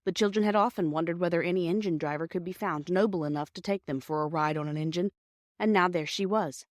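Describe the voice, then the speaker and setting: A woman's voice: on the low side, fast talker, no room sound, clean studio sound. A woman narrating a children's story from a script as an audiobook reader.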